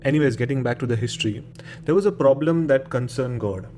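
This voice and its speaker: A man's voice: mid-range voice, quick pace, darkish tone. A man with an Indian accent informally hosting a horror show and telling stories, often repeating words and phrases. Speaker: host of a horror radio show.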